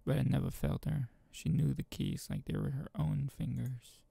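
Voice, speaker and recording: A man reading a visual novel aloud and commenting casually as a channel host, informal and halting, with a gravelly, husky voice. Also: medium pitch, huge pitch swings, mellow, no echo.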